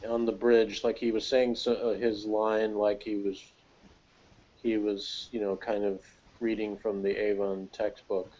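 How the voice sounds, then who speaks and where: A man's voice: fairly low pitch, little pitch movement, a little slow, mellow. A man chatting casually as a podcast reviewer, in a rambling, jokey way. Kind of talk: casual chat. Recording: dull, lo-fi voice recording.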